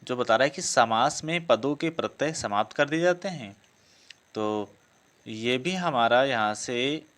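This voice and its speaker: A man's voice: medium pitch, measured pace, a bit bright. A man explaining practice exam questions in a lesson. He reads each option aloud, confirms it, and corrects himself as he goes. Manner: self-correcting, repetitive phrasing. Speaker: exam coaching teacher.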